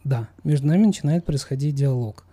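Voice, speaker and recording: A man's voice: mid-range voice, lively intonation, brisk, dark tone, a little hiss or hum. A man speaking as a psychologist guest in a discussion, coaching assertively with emphatic repetition. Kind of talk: conversational expert discussion.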